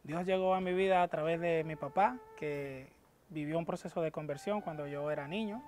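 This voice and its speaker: A man's voice: a little high, normal speed, a little mellow, slight background noise. A Dominican man in his thirties giving a personal testimony to camera in a studio, hesitant, with many fillers and restarts. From Dominican Republic. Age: 30s. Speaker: guest. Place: TV studio, dark backdrop. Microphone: lapel mic.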